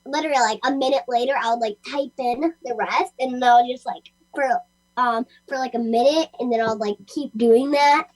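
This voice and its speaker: High-voiced young girl chatting eagerly. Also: normal speed, rough recording. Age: child. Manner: eager, repetitive, many "like"s. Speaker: young participant. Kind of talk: casual chat.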